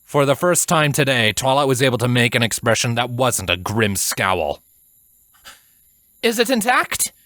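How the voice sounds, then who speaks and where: A man reading a fantasy story as a narrator, in a measured, dramatic way. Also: medium pitch, fairly bright tone, dry recording, clean studio sound. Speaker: fan fiction narrator.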